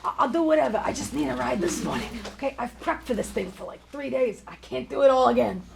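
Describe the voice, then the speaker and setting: Creaky-voiced, anxious middle-aged guy played by a woman. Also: sits high, fast, slight background noise. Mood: panicked. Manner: stammering, earnest. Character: anxious, pleading middle-aged male New York bar owner. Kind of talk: live comedy table read. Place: comedy theater stage. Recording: live theater with laughing audience.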